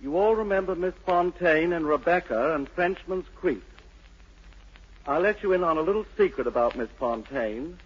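Casting: male radio presenter, formal promotional delivery, slightly high husky voice, muffled 1940s broadcast sound. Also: lively intonation, normal speed.

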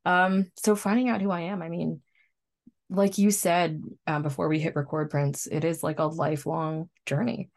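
Female, twenties, distinctly low pitch, reflective and informal podcast co-host delivery. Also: steady tone, moderate pace, a little mellow. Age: 20s. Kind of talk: casual chat.

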